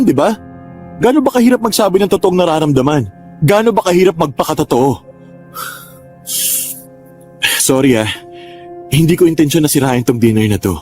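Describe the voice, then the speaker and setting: An actor playing an exasperated but frank two-timing suitor in a radio drama, arguing earnestly. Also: male voice, fairly high pitch, darkish tone, natural voice. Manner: argumentative, earnest.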